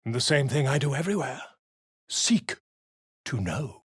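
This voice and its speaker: A man's voice: mid-range voice, very animated, a little slow, slightly rough, no room sound, clean studio sound, full character voice. An actor playing an eccentric, pompous male bard and scholar in a video game, speaking in flowery, declamatory phrases.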